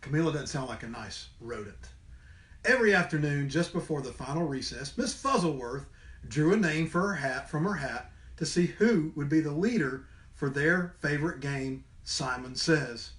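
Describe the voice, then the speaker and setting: A man with a fairly high voice reads a children's storybook aloud. His delivery is expressive, in the manner of a schoolteacher at story time. The room adds noticeable echo. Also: moderate pace. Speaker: storybook reader, teacher. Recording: roomy indoor echo.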